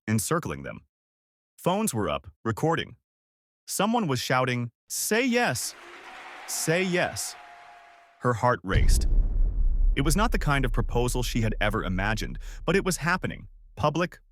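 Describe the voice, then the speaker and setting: Male story narrator, medium pitch, very lively intonation, dramatic and suspenseful, emphatic phrasing. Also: slight background noise.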